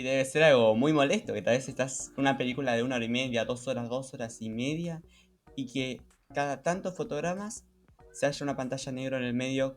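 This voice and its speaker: Male co-host for a chatty podcast, Rioplatense Spanish accent, mid-range voice, conversational delivery, light background music. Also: mid-range voice. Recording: music behind.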